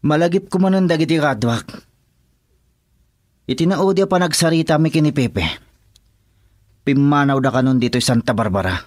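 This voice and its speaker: A man's voice: fairly high pitch, expressive, moderate pace, pauses often, lightly acted. A man with an Ilocano Filipino accent playing a cocky, goading gang henchman in a radio drama. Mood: eager.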